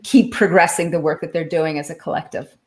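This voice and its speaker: Woman guest, lower-pitched voice, clear and explanatory. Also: fairly even intonation, a little fast, a little mellow. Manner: articulate, explanatory, lightly laughing. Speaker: guest, leadership author and consultant. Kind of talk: live online interview.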